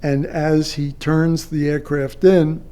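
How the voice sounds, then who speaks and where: An older man tells a story from his working life as a retired controller. His delivery is slow and halting, with long pauses between phrases. The tone is mellow and slightly high for a man. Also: level tone, clear, resonant tone. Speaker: retired air traffic controller. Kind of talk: unscripted personal storytelling.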